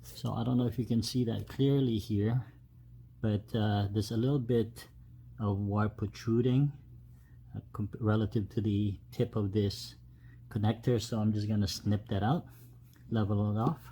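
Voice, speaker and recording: A man giving a casual, unscripted step-by-step tutorial as a DIY host, with halting delivery. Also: fairly low pitch, steady tone, dark tone. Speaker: host of a DIY truck modification video. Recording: clear background noise, ambient sound.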